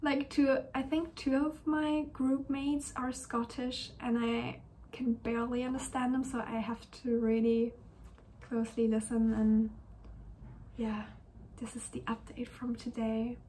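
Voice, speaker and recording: A soft-spoken young woman chats through a personal diary vlog, her gentle, breathy voice often sinking to a whisper. She takes her time, unhurried and slow, and her pitch stays fairly level, sitting a touch high for a woman. There is a faint hiss behind her. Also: flat delivery, low-quality recording. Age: young adult. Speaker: university student vlogger. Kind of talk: casual diary-style vlog chat.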